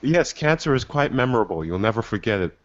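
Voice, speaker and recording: A man's voice: medium pitch, sing-song, fast talker. Giggly young man hosting a podcast. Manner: giggly, hesitant, many fillers. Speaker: podcast host. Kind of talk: casual live-stream chat. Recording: dull lo-fi stream audio.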